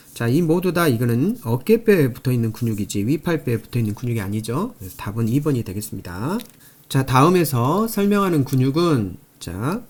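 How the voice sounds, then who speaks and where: A man's voice: mid-range voice, darkish tone. A man giving an explanatory anatomy lecture, repeating key terms and going through things step by step. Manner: repetitive, step-by-step teaching with frequent emphasis. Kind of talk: explanatory lecture, drawing and working through review questions.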